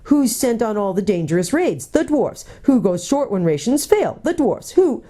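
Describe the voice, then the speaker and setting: A woman's voice: on the low side, expressive, normal speed, warm tone, voice pushed up, natural voice. A woman voicing a bitter, defiant male dwarf in a storybook, argumentative and sour, with a snapping, sneering manner. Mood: angry, defiant.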